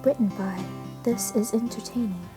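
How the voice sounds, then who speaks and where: Expressive woman narrating an audiobook. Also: a little high, normal speed, over music. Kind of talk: scripted fan fiction narration.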